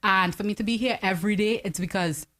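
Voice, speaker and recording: A woman's voice: mid-range voice, quick pace, fairly bright tone. A woman co-hosting a live studio show, chatting casually with playful teasing and frequent laughter. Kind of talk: lively casual studio banter.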